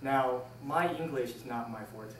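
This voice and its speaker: Young male speaker, born in Taiwan, measured storytelling delivery, mid-pitched, recorded from far off. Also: a bit bright. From born in Taiwan, moved to the United States at six. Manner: deliberate, measured storytelling with pauses. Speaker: speech club member giving a speech. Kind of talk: prepared personal speech to an audience. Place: indoor room, recorded from a distance. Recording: roomy, distant mic with faint noise.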